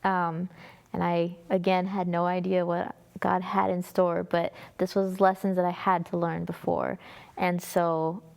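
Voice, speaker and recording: A woman's voice: lowish voice, flat delivery, unhurried, creaky, darkish tone. A young woman with a North American accent, telling a personal testimony as an interview guest in an earnest, conversational way. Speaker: guest, music ministry singer.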